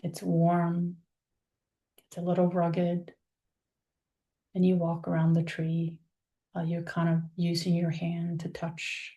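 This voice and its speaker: A woman leading a guided meditation, speaking in a soothing, unhurried manner with pauses between phrases. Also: on the low side, completely flat delivery, mellow. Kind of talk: guided meditation, soft spoken visualization.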